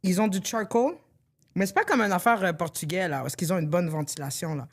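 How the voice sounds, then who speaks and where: A woman's voice: on the low side, a little fast. Chatty woman. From Morocco, raised in Montreal. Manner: animated storyteller, Quebec slang and English words. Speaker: podcast host. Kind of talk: casual chat.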